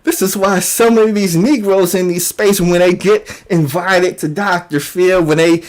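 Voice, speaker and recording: Slow-paced man hosting mocking commentary. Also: high-pitched, steady tone. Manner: mocking, emphatic, repeats phrases. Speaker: YouTube show host. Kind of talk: informal commentary monologue.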